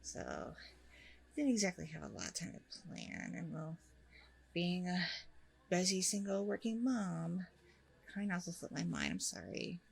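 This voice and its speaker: A woman plays a warm single working mom in an ASMR date roleplay. Her voice is a little low for a woman, breathy and full of fry. She rambles along casually in informal, slangy talk. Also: big pitch swings, unhurried, pauses often, exaggerated voice. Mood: apologetic, rambling. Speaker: roleplay performer.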